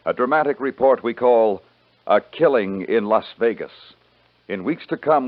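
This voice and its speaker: Formal American radio announcer over loud music. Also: male voice, mid-range voice. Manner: formal, dramatic. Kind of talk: 1950s radio announcing, scripted. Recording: dull vintage radio recording. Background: loud orchestral music.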